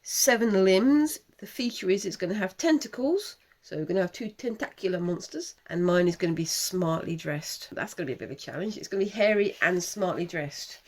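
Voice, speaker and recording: A woman in her thirties with a croaky, failing voice, hosting a casual crafting vlog with her young daughter in a chatty, wry way. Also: on the low side, expressive, fairly quick, hardly any pauses. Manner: chatty, self-mocking, wry. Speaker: channel host, mother.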